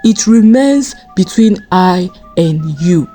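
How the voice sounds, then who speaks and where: A woman's voice: mid-range voice, lively intonation, takes it slowly, dark tone, soft background music. A woman voicing a smug, wealthy male criminal recruiter in a folktale, confident and coaxing. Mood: stern, secretive.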